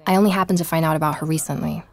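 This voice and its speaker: A woman's voice: deep voice, steady tone. An actress playing a curious young woman journalist with a Spanish accent who asks probing questions. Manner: probing questions, brisk narration. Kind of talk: audio drama acting.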